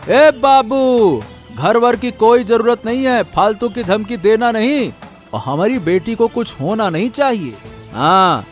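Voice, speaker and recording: High voiced village farmer dad guy acting, rustic, protective, very animated, cartoon story. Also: takes it slowly, soft background music. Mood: threatening. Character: gruff, repentant middle-aged farmer father, protective of his daughter.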